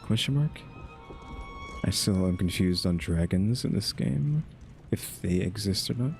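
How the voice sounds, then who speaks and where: A man with a low voice chats his way through a video game. He rambles and thinks aloud, often tossing in questioning asides, while loud music plays behind him. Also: animated, measured pace, vocal fry. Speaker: gaming streamer. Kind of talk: casual game playthrough commentary.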